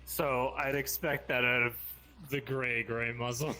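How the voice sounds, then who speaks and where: Young guy in his 20s, talks real slow, bright sharp voice, swears a lot. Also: medium pitch, little pitch movement, slight background noise, low-quality recording. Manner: slow, drawn-out, swears freely. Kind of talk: casual chat. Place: online voice chat.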